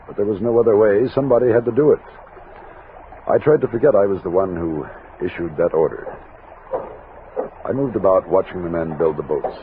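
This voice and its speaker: Deep-voiced actor as a commanding frontier captain. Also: male voice, lightly acted. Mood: measured, reflective. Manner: measured storytelling narration, firm orders. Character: steady commanding army captain leading a frontier expedition. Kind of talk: 1960s Western radio drama acting and narration. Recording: thin lo-fi old radio recording, hiss.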